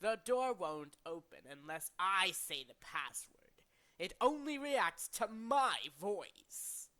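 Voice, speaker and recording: A man's voice: sits high, sing-song, takes it slowly, sharp tone, heavily acted. A man voicing a smug, boastful male impostor villain from a video game, hammy and easily flustered.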